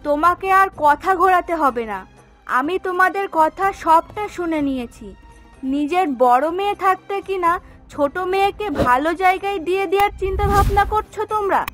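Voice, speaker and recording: A woman voicing a bad-tempered, sharp-tongued young adult daughter in a cartoon, accusing and indignant. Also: very high-pitched, moderate pace. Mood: sarcastic, indignant. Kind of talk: Bengali cartoon voice acting. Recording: music behind the voice.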